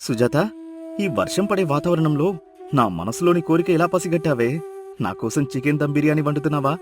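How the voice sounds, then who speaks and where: A man playing a lazy, food-loving young village husband with a whiny, exaggerated manner in an animated moral story. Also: a little high, fairly quick, soft background music, close to an everyday voice. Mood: greedy delight. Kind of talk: acted moral story dialogue.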